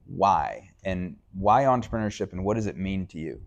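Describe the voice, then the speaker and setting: Male interview host, low register, conversational and chatty. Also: lively intonation, normal speed.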